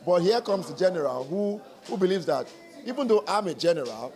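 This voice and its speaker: A man with a Nigerian accent, an actor explaining his work in an interview in a measured way. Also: sits high, expressive, a little mellow, faint music behind. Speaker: stage actor.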